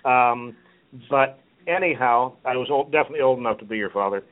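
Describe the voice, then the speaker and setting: A middle-aged man hosting a radio chat show, rambling and halting through many restarts. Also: mid-range voice. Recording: narrow phone-like line.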